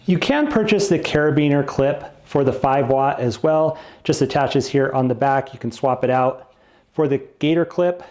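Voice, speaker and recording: A man's voice: medium pitch, normal speed, a little mellow. A man giving a casual product review, explaining things in a plain-spoken, non-technical way.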